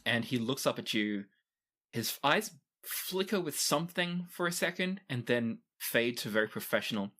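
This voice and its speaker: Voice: medium pitch, expressive, normal speed, bright, forceful tone. A game master narrating scenes in a tabletop roleplaying game and speaking for its world.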